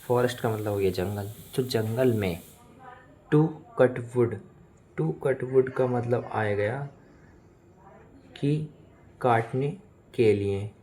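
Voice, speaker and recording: A man with an Indian accent, a native Hindi speaker, teaching English phrase by phrase in a deliberate, repetitive, explanatory manner. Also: medium pitch, dark tone. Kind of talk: bilingual language lesson, phrase by phrase.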